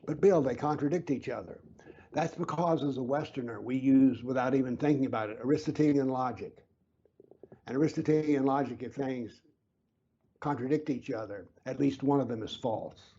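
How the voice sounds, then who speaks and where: A man being interviewed who explains his views earnestly and emphatically, sometimes dropping to a low whisper to stress a point. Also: normal speed, dark tone, breathy edge, dull audio. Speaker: interview guest, author.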